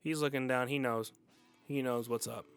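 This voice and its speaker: A man's voice: mid-range voice, steady tone, normal speed, clean tone. A man hosting a music podcast, giving a casual, enthusiastic review and repeating words for emphasis.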